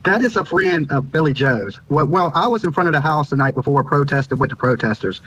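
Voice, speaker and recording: A man's voice: fairly high pitch, expressive, a little fast, a bit bright, slightly rough. A man from Virginia giving an informal live interview account as a guest, repeating phrases as he goes. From Virginia, United States. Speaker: YouTuber and news guest. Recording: dull, lo-fi remote link.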